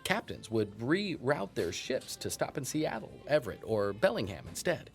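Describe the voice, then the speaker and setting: Folksy town historian for audio drama: wry, emphatic, lively pitch swings, some creak. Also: male voice, moderate pace, faint music behind. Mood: grave storytelling. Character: wry, folksy male small-town historian and coffee shop owner. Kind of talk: naturalistic audio drama interview.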